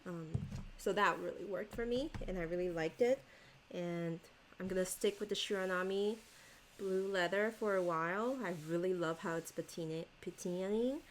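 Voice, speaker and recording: A woman's voice: fairly low pitch, normal speed, slight background noise. A woman whose first language is Chinese, chatting casually and rambling as she shows her planners, laughing often. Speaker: stationery vlogger. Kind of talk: casual chat showing planners.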